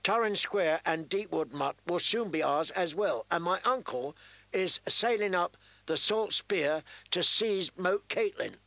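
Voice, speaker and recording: A man's voice: on the high side, lively intonation, measured pace, fairly bright tone, no echo, voice pushed up. A man doing a sneering arrogant young prince. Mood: commanding. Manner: sneering, commanding. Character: arrogant young male prince, mocking and threatening.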